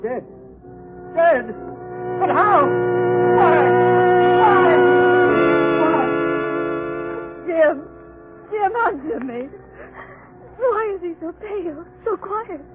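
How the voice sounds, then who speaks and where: A grief-stricken woman with a very high voice, pleading in 1930s radio style. The recording is dull and old, with music around it. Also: animated, extremely slow, pauses often, heavily acted. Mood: shocked anguish. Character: distraught mother and wife, grief-stricken.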